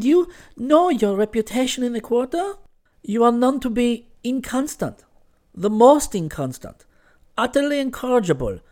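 A man's voice: very high voice, darkish tone, pitched above the performer's own voice. A male narrator voicing a poised young Frenchwoman, teasing, candid and pointed, in a storybook reading. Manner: pointed questioning and scolding, sprinkled with French exclamations.

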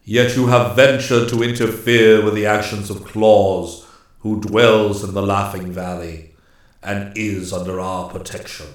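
A man voicing a grave, ancient immortal master of the forest in a storybook, speaking in stern, stately, deliberate pronouncements. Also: on the low side, level tone, very echoey, lightly acted. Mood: calm, firm. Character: grave, commanding ancient immortal master woodsman, bearded male.

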